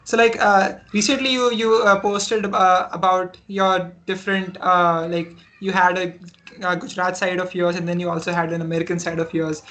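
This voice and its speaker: A podcast host asking interview questions in a casual, halting way, with many fillers. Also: medium pitch, flat delivery, a bit bright.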